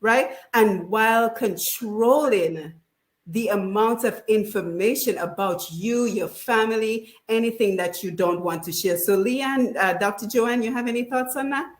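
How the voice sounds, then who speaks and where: A woman's voice: on the high side, unhurried. A woman hosting a radio panel discussion in a conversational way, often checking in with "right?". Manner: conversational, frequent "right?" tags. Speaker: radio show host. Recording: roomy echo.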